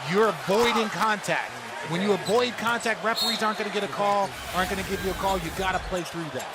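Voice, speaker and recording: A high-pitched man delivers opinionated basketball critique. He is insistent and emphatic, hammering points by repetition. Loud game noise sits behind his voice. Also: fast, over a noisy crowd. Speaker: basketball commentator. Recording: very noisy, game audio behind.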